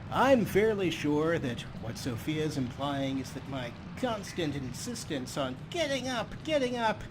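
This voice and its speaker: A man's voice: fairly high pitch, heavy background noise. A man hosting a playful let's play, with a wry, mock-grandiose way of commenting. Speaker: gaming video host.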